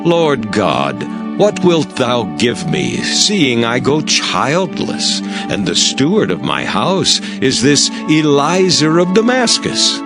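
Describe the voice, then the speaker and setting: An actor playing an earnest, reverent biblical patriarch, declaiming in a dramatized scripture reading. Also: male voice, medium pitch, wildly swooping pitch, slow pace, hardly any pauses, over loud music, full character voice. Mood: pleading, reverent.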